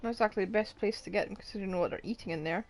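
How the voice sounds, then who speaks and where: A woman's voice: medium pitch, rapid-fire, darkish tone. A woman streamer giving casual live commentary on a game, with dry, wry asides.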